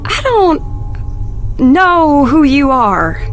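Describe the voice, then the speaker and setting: A woman's voice: high voice, very animated, very slow, faint music behind, full character voice. An actress voicing an anxious, exasperated young woman in hiding in a video game, guarded and pleading. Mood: wary.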